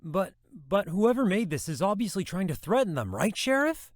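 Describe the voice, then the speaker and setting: A man's voice: high voice, very animated, normal speed, dry recording, studio quality. A man acting as an earnest, timid police deputy in an audio drama, hesitant and apologetic. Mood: worried, pleading.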